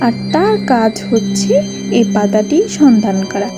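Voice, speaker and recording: Female narrator for a children's cartoon tale, high-pitched voice, music underneath. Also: lively intonation, unhurried. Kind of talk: scripted story narration.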